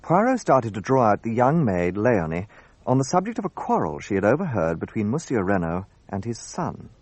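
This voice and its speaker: An actor playing an earnest, gallant gentleman who narrates and acts in a radio drama. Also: on the low side, sing-song, normal speed, no echo. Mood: measured narration.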